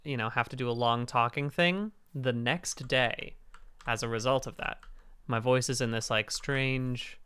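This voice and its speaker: A man with a hoarse, raspy voice hosting a charity game stream, rambling casually and cutting himself off mid-thought. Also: fairly even intonation, unhurried, sharp tone. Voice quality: deeper raspy register. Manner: rambling, self-interrupting. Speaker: stream host.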